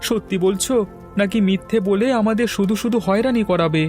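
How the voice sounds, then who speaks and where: A woman's voice: medium pitch, a little fast, a little mellow, close to an everyday voice. A woman voicing a curious, brooding village boy in a fairy tale cartoon. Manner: questioning, wondering. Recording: music under the voice.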